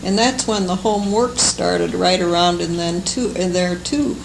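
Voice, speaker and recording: An older American woman recalling her life for an oral history, speaking in a halting, unhurried, fond way. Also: on the low side, vocal fry. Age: older, seventies or above. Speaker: interviewee, longtime local resident. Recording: noisy amateur oral history recording.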